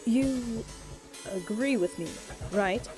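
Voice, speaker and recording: A woman's voice: on the high side, slow pace, over music. A woman playing a cool, pragmatic, commanding character in a game fan dub, persuasive and businesslike.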